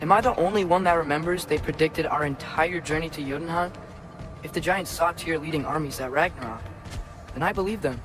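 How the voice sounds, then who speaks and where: A man's voice: on the high side, brisk, lightly acted. A young man playing an earnest, questioning teenage boy, a warrior god's son, in a video game, speaking casually and probingly. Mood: insistent. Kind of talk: video game character acting.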